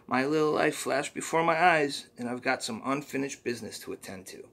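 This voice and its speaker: A man's voice: medium pitch, expressive, brisk, creaky, a bit bright, voice pushed up. A man voicing a cheery, folksy little male poop creature from a comic, who keeps saying "ooh-wee.". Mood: earnest.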